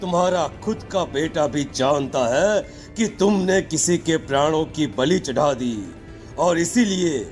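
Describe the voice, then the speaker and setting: A man's voice: fairly high pitch, measured pace, darkish tone, soft background music, lightly acted. A man voicing a stern, accusing holy man who banishes spirits in a dramatized horror story. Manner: solemn, commanding.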